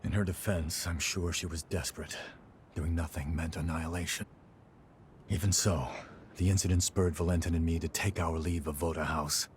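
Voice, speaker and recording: An actor playing a weary, battle-worn male warrior in a video game, grave and regretful, speaking in measured, terse phrases. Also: low voice, steady tone, slight background noise, natural voice. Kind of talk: dramatic video game acting.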